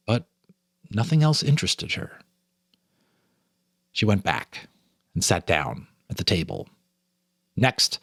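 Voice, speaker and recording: A man's voice: on the low side, no room sound, clean studio sound. A man reading a ghost story aloud as a storyteller, with a theatrical, suspenseful delivery. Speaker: storyteller, host.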